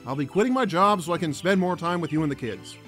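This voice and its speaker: Man playing grumpy weary cartoon father, high animated voice, sardonic, exasperated, light music behind. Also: fast talker, natural voice. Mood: earnest, sardonic. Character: grumpy, weary father trying to reconcile with family. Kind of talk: cartoon parody voice acting.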